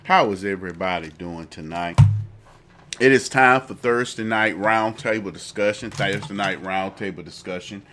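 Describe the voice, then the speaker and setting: Guy with a cough doing political commentary, low bright voice, keeps repeating himself and gets worked up. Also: moderate pace, soft background music. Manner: repetitive, building to heated indignation. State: fighting a cough. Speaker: host of a political talk show.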